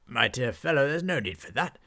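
A man's voice: medium pitch, big pitch swings, a little fast, gravelly, bright, forceful tone, voice pushed up, exaggerated voice. A man voicing a pompous, cheerful knight with a genteel, fussy manner in a storybook tale. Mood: delighted. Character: pompous, cheerful male knight, fond of flowers.

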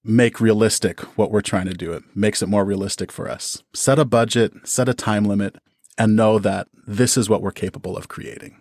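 A man's voice: fairly low pitch, fairly even intonation, moderate pace, a little mellow, dry recording, studio quality. A man speaking as a podcast guest, giving casual, thoughtful explanations as a creative artist. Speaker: interview guest, audio drama creator. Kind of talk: casual, reflective interview answers.

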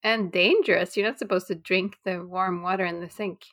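A woman's voice: lowish voice, steady tone, moderate pace, a bit bright. Teasing, blunt woman podcast host. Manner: blunt, teasing, matter-of-fact. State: pregnant. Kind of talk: casual chat.

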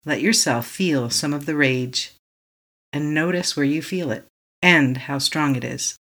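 A meditation guide leading a tapping session, speaking slowly and calmly in short affirmations for the listener to repeat. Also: mid-range voice. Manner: calm, slow, repeating affirmations to be echoed back.

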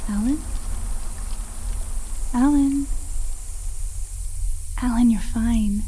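An actress playing a gentle, reassuring sister in an audio play, soothing and coaxing. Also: female voice, a little high, extremely slow, slightly rough, heavily acted, rough recording.

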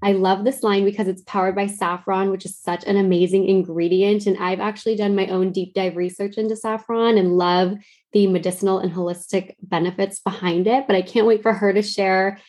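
A woman's voice: mid-range voice, little pitch movement, a little fast, darkish tone. Upbeat woman podcast host. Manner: upbeat, enthusiastic. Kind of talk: scripted intro, then casual interview.

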